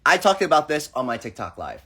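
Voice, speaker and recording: A man's voice: a little high, very animated, brisk, bright, forceful tone, slightly rough. A young man hosting a casual livestream chat, playful and acting out the people in his stories. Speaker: livestream host.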